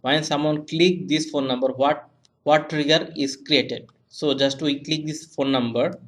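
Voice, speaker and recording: Halting young man presenting a tutorial. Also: fairly high pitch, steady tone, fairly bright tone. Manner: halting, repetitive, simple non-native English phrasing. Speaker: web analytics tutorial presenter. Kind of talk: step-by-step software tutorial narration.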